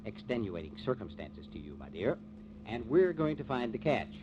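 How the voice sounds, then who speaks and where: Breezy newspaperman with animated pitch. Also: normal speed. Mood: breezy, pushy. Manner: teasing, jaunty. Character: breezy, wisecracking small-town newspaper editor and husband. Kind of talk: 1950s radio drama acting. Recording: muffled, noisy old 1950s radio recording.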